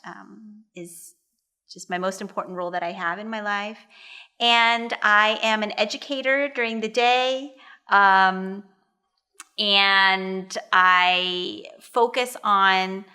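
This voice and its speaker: Articulate woman in her forties, clear bright voice. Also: mid-range voice. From United States. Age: 40s. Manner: articulate, thoughtful, with light fillers. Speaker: guest. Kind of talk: casual podcast interview.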